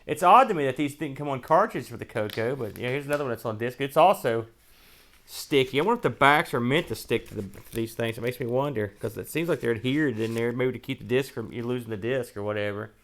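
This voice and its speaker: A man's voice: medium pitch, fast, fairly bright tone. A man hosting a retro computer show, giving casual, rambling unboxing commentary and half talking to himself as he handles items.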